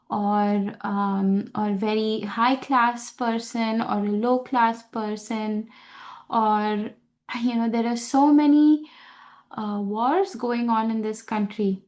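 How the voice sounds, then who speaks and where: A woman giving an unscripted scripture class, talking slowly with many fillers and restarts as she searches for words. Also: fairly high pitch, darkish tone.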